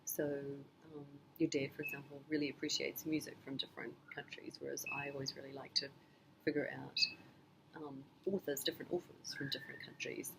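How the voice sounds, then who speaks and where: A middle-aged woman from New Zealand, answering her child's interview questions in a thoughtful, measured way with frequent pauses and ums. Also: a bass voice, flat delivery, gravelly, noisy background. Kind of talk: casual family interview.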